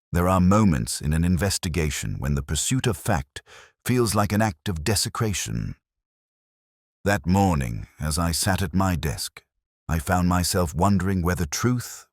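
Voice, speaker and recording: A man voicing a weary middle-aged Oxford detective, narrating in first person in a measured, reflective way. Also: low voice, no echo, studio quality, full character voice. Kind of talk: scripted audio drama narration.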